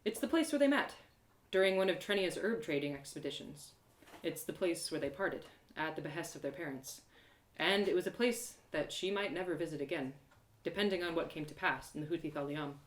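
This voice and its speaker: A woman hosting and narrating a story live in an expressive, theatrical way. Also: deep voice, brisk, echoey room. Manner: animated, theatrical. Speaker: storyteller and host of a live reading series.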